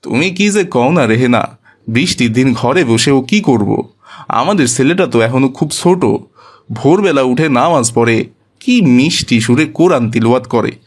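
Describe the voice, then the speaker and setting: A man playing a gentle, devout, poor village father in a cartoon, with a colloquial Bangladeshi accent and a mild, homely way of talking. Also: medium pitch, expressive, moderate pace, close to an everyday voice. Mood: gentle, reassuring. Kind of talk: cartoon voice acting.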